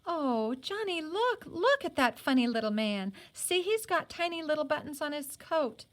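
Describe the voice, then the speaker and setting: A woman reader acts a little girl in a storybook, sweet and eager. Her voice is high and she exclaims with excited delight. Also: normal speed.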